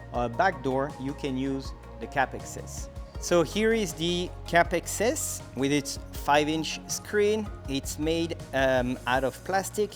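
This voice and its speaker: A man presenting a product demonstration in a conversational, explanatory way, going through things step by step. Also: a little high.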